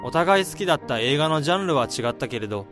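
A young man acting in a radio drama as a steady, caring friend, speaking in a measured, reflective way. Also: fairly high pitch, natural voice. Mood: nostalgic. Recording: music and crowd sounds behind the voice. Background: music and crowd sounds.

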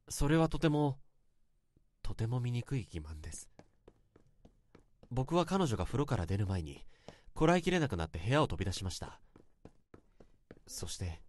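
A man's voice: mid-range voice, a little mellow. A man acting in a radio drama as a self-conscious young man, earnestly and politely recounting an awkward romantic memory in a confessional monologue. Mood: remorseful.

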